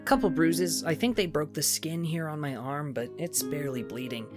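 A woman's voice: sits low, moderate pace, over loud music, natural voice. A woman playing a matter-of-fact, sardonic adventurer in a fantasy audio drama. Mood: stoic.

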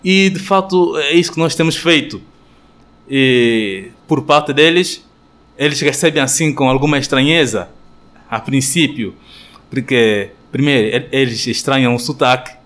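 A man with an Angolan Portuguese accent, a friar giving a radio interview answer, thoughtful and hesitant with many pauses and fillers. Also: medium pitch, a bit bright. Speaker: friar, guest.

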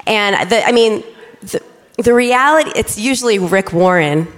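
A young adult woman preaching a lively, excitable sermon to her congregation from a church stage. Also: mid-range voice, normal speed. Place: large church auditorium. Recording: reverberant church hall.

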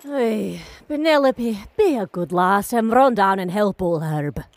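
A woman's voice: mid-range voice, animated, no room sound. A woman voicing a bossy, cheerful ship captain who rallies her downcast crew. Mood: commanding. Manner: brisk, commanding, reassuring.

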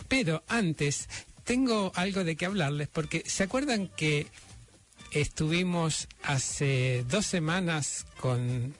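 A man's voice: on the high side, animated, takes it slowly, faint music behind. A man hosting a radio program, announcing the schedule and introducing a guest, with frequent hesitant fillers. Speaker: radio host.

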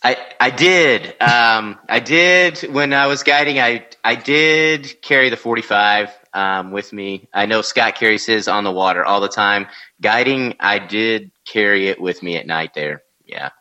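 A man's voice: fairly low pitch, a little slow, bright, forceful tone, muffled recording. A man, co-hosting a casual podcast and telling fishing stories in a laid-back drawl, laughing and swearing as he goes. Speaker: podcast co-host, former fishing guide. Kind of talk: casual chat and storytelling.

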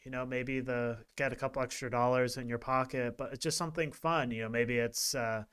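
A man's voice: mid-range voice, a little fast, clean tone. A man co-hosting a wellness podcast, talking casually with lots of fillers and restarts. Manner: relaxed, rambling with many fillers. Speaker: podcast co-host. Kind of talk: casual chat.

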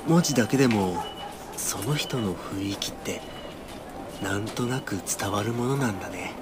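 An actor playing an awkward, gentle young man in a radio drama, halting and reserved, with quiet resolve. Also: lowish voice, noisy background, close to an everyday voice. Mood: shy, awkward. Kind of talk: Japanese radio drama acting. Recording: music behind the voice.

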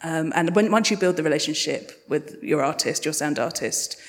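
A woman's voice: low voice, normal speed. A woman speaking conversationally as a guest sound designer on a live panel, answering audience questions. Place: live podcast festival stage in a hall. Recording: reverberant hall at a live event.

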